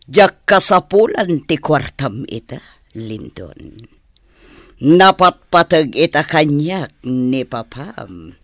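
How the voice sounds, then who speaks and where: A woman playing a stubborn, scheming kept woman in an Ilocano radio drama, drawn-out and melodramatic. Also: deep voice, expressive, no echo, clean studio sound. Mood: defiant.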